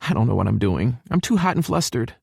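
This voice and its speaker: Flustered young woman, anxious and fretting, voice pushed high, played by a male narrator. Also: sing-song, fairly quick, gravelly, darkish tone, husky, no room sound, clean studio sound, exaggerated voice.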